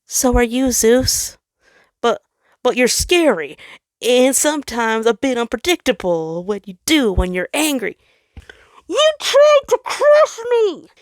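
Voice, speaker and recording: Casting: woman playing a wounded male Sun in human form, high-pitched, pleading, bitterly hurt, for narrated fiction. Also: very animated, slow, no echo. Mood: accusing. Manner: pleading, crying out in hurt anger. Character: the Sun as anguished male star in human body.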